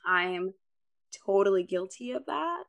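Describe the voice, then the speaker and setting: Energetic woman podcast guest. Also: medium pitch, unhurried, gravelly, dull audio. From Dominican Republic. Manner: energetic, upbeat, laughs often. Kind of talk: casual podcast interview.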